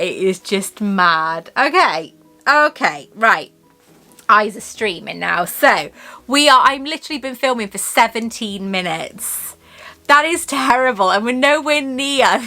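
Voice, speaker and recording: A Welsh woman chats away as the host of her own unboxing vlog. Her voice is bright and fairly high, and it rings with a forceful edge. She rambles and gushes as she goes, and she laughs often. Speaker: YouTube host. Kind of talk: casual chatty unboxing vlog.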